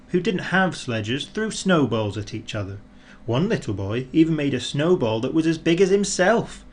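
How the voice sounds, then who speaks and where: Expressive man narrating a children's storybook. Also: medium pitch, slight background noise. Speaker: audiobook narrator.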